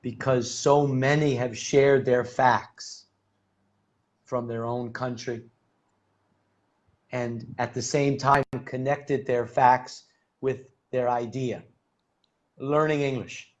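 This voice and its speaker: A man who teaches English hosts the chat, telling stories in a quick, animated way with many fillers. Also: mid-range voice. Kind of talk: casual storytelling chat.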